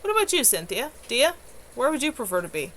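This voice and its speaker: Woman playing a weary, exasperated coven leader, raised pitch. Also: big pitch swings, a little fast, fairly bright tone. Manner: exasperated, emphatic.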